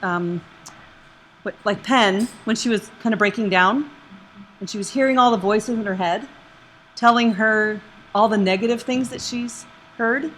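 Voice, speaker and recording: A woman's voice: mid-range voice, a little slow, long pauses, a bit bright, a little hiss or hum, rough recording. A woman in the audience sharing her reflections casually. She speaks earnestly and rambles a bit, using many fillers. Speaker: audience member.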